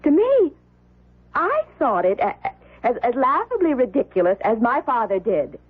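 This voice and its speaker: An actress playing a pampered, petulant wife who nags and pleads theatrically in a radio drama. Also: female voice, a little high, sing-song, moderate pace, slightly rough. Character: pampered, petulant rich daughter and wife, craving fun. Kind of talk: 1970s radio drama acting. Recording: muffled lo-fi old radio broadcast.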